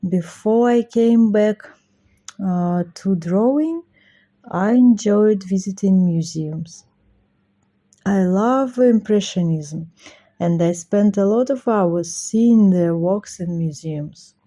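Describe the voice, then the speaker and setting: A woman, a ceramic artist speaking slowly and carefully about her work, with hesitant fillers. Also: medium pitch, mellow. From Russia. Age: 40s.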